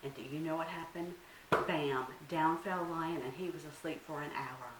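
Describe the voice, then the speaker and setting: A woman telling a story to young children as a librarian at storytime, with a dramatic, expressive delivery. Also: deep voice, moderate pace. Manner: dramatic, asks listeners questions. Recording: roomy, distant mic, faint hiss.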